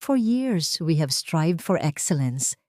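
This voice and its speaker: A woman voicing a confident, powerful rich businesswoman who gives a loud, formal speech in an audio story. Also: sits low, big pitch swings, slow pace, no room sound, clean studio sound, exaggerated voice. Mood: commanding.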